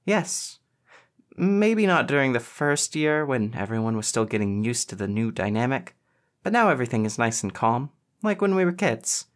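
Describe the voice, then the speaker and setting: Man acting teenage girl, raised pitch, earnest, expressive, caring, audiobook dialogue. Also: moderate pace, close to an everyday voice. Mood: eager, emphatic.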